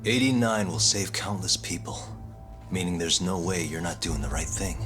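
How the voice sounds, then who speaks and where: A man playing a dogged, cocky investigator in a dramatic video game cutscene, speaking in a measured, earnest and needling way. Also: deep voice, lightly acted. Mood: accusing, sure. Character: dogged male investigator, calm, cocky and accusing. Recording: music behind the voice.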